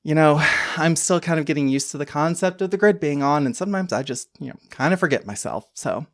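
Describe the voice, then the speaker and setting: A woman voicing an older lady computer program in a tabletop game, polite and apologetic, with gentle endearments. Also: low voice, animated, a little fast, dry recording, studio quality. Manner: courteous, hesitant, endearments like sweetie and dear.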